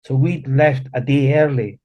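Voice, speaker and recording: A middle-aged man from Chile, a native Spanish speaker, tells his story conversationally in an interview, haltingly, circling back to restate phrases. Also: medium pitch, lo-fi sound. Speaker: interview guest.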